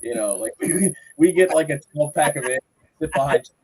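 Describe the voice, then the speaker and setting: A man's voice: fairly high pitch, moderate pace, a little mellow, slightly rough, rough recording. A man in his forties from Florida, a podcast guest chatting and telling long rambling stories about his life. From Southwest Florida, United States. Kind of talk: casual chat.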